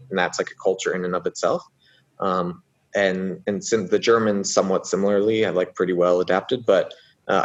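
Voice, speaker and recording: A man's voice: sits low, muffled recording. A man raised in the United States, talking casually as an interview guest, rambling with many fillers and restarts.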